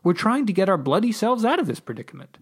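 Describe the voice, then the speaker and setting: A chatty, flustered storybook adventurer, played by a man, talks in rambling, mock-formal runs. The voice sits a little high and moves about with great animation. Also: big pitch swings, fast, husky, exaggerated voice. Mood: indignant. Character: flustered, chatty, wordy male underworld escapee.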